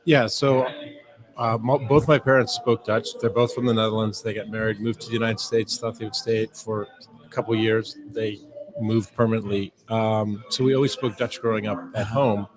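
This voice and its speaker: A man from Southern California, a club board member, talking casually in an interview with many pauses and fillers. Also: lowish voice, level tone, poor audio. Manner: hesitant, many fillers and restarts. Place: club bar during a social evening. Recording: loud crowd chatter behind.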